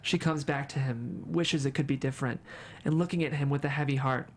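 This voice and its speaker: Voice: medium pitch, brisk. A narrator reading screenplay stage directions at a table read in a dramatic storytelling manner.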